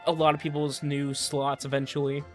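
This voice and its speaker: A man's voice: a little high, level tone, brisk, over loud music. A man doing casual livestream game commentary, chatty, repeating words and trailing off. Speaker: game streamer.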